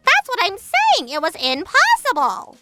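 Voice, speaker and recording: A woman's voice: very high voice, wildly swooping pitch, a little slow, exaggerated voice. A woman voicing a young woman who dodges her debts in a dubbed manga drama. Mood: whiny. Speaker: voice actor in a manga dub. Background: background music.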